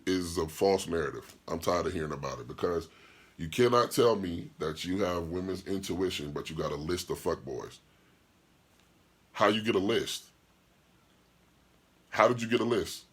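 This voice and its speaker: Deep, husky-voiced man ranting bluntly. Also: lively intonation, normal speed. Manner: blunt, profane, hammering rhetorical questions; reads out and answers live chat comments. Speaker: podcast host and DJ. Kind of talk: opinionated podcast monologue.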